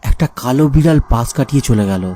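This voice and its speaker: A man narrating a cartoon ghost story in a calm, measured storytelling voice. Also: medium pitch, a little hiss or hum.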